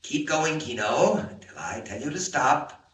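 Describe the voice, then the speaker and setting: A distant, echoing old radio recording with faint hiss carries a cold male villain, the boss of a gang. His middle-pitched voice swings between quiet menace and sharp command, in deliberate, sinister 1940s serial style. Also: sing-song. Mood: quietly menacing.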